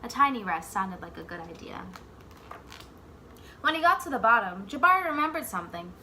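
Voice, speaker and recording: A woman reading a picture book aloud like a teacher, expressively, pausing to put simple questions to young children. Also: a little high, very animated, sharp tone, slight background noise. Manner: pauses to ask simple questions and echo the answers.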